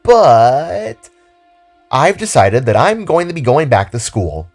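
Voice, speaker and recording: A man's voice: medium pitch, very animated, takes it slowly, a little mellow, soft background music, pitched above the performer's own voice, full character voice. A man voicing an upbeat, caring teenage girl heroine with an earnest, encouraging manner, in an audio drama. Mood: confident, earnest.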